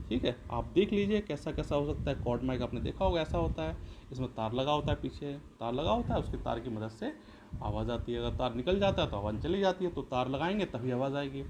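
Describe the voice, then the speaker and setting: A man's voice: mid-range voice, expressive, a little fast. A man teaching a lesson in an explanatory way, repeating points and answering his own questions. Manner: repeats and rephrases points, poses questions then answers them. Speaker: school teacher. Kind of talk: explanatory classroom-style lesson. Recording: clear background noise.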